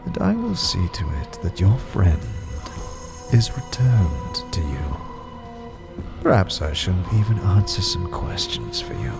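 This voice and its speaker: Grim, gravelly, deep old tyrant. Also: male voice, huge pitch swings, measured pace, over music, exaggerated voice. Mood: commanding. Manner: haughty, formal, menacing. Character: withered old man, grim, imperious underworld collector of skulls. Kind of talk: fantasy audio drama acting.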